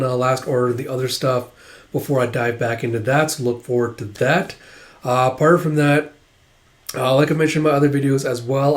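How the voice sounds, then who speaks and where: A man with a hoarse voice from a cold, hosting a manga read-through channel and chatting casually, rambling with many fillers. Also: medium pitch. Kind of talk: casual chat to camera. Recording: roomy echo.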